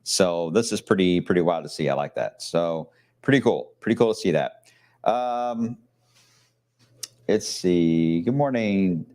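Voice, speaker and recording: A man's voice: low voice, lively intonation, a little slow, darkish tone. A man giving a live TV weather forecast from a shopping outlet, in a loose, chatty way with fillers and asides. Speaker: TV meteorologist.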